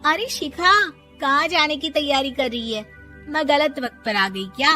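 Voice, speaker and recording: Woman acting a high-voiced, sassy young daughter-in-law. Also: normal speed, pushed and bright, faint music behind. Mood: casual. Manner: cheeky, argumentative. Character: sassy, defiant young daughter-in-law who talks back. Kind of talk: animated moral story voice acting.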